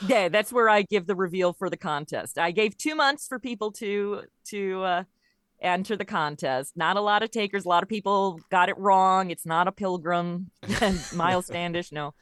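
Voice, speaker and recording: A woman's voice: mid-range voice, moderate pace, a bit bright, dry recording. A woman speaking casually and conversationally as a guest on a podcast. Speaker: podcast guest, audio comedy performer.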